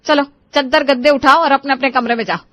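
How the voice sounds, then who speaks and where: Woman putting on a highish-voiced fussy mother-in-law. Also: fairly even intonation, quick pace, fairly bright tone, no echo. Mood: scolding. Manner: fussy, scolding. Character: nagging middle-aged mother-in-law, meek before her elders. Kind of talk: acted dialogue in a cartoon story.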